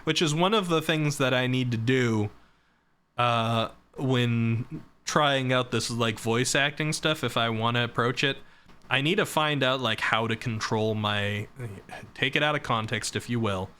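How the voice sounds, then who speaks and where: Young man streamer, voice going hoarse. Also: medium pitch, unhurried, sharp tone. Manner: rambling and self-deprecating, reading chat and laughing. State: voice going hoarse from long talking. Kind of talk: casual live-stream chat.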